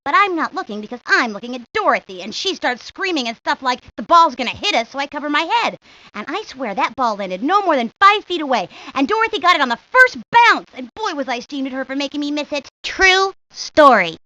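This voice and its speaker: A woman's voice: high voice, big pitch swings, a little fast, bright, forceful tone, full character voice. A woman voicing a talkative, confident young baseball-loving girl in a cartoon video game, rambling on matter-of-factly. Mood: chatty, animated. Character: talkative, sporty young girl, ambitious baseball know-it-all. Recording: dull lo-fi 1990s game audio.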